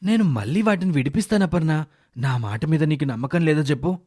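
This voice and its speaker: A man voicing a caring middle-aged father and husband, earnest and reasoning, in an animated moral story. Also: on the high side, brisk, breathy edge. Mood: pleading.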